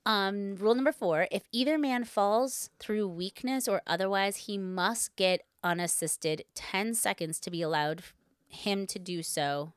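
A woman's voice: mid-range voice, measured pace, clean tone, no echo, clean studio sound. A woman co-hosting a podcast, telling a story in casual, informal talk with lots of "like" and playful asides. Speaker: podcast co-host.

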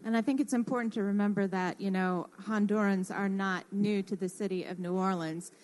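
A woman explaining things earnestly and a little haltingly in an informal radio discussion. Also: medium pitch, steady tone, a little mellow. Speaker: radio panelist who works in construction.

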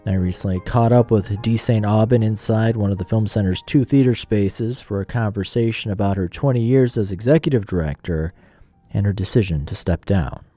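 Mellow, low-voiced male radio host. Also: moderate pace, no echo, faint music behind. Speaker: radio show host and interviewer. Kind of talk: scripted radio narration and interview questions.

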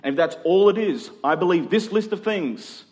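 A man's voice: sits high, expressive, moderate pace, darkish tone. A middle-aged man preaching in a conversational expository style, using rhetorical questions and emphatic repetition. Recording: long hall reverb.

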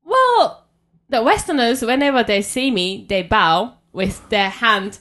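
A woman's voice: a little high, lively intonation, takes it slowly, fairly bright tone. A woman from Japan, a native Japanese speaker talking in English, chatting as a podcast guest in a frank, giggly way. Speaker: guest, waxing salon owner. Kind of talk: casual interview chat. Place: host's apartment.

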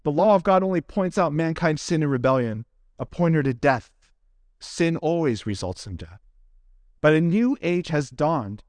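A man preaching as a pastor to his congregation, earnest and deliberate, with emphatic phrasing in measured lists. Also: a little high, animated, darkish tone, dry recording. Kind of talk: expository sermon closing in prayer.

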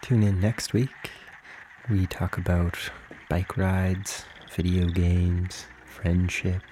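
A man co-hosting a podcast who introduces the song and signs off in a relaxed, easygoing way. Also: sits low, takes it slowly, slight background noise, rough recording. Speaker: podcast co-host. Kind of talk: casual show sign-off.

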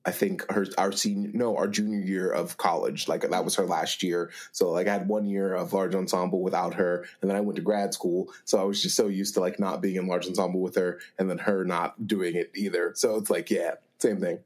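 A man's voice: sits low, level tone, a little fast, hardly any pauses, darkish tone. A young American man, a music teacher, hosting an interview podcast in a casual, friendly way with many likes and uhs. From United States.

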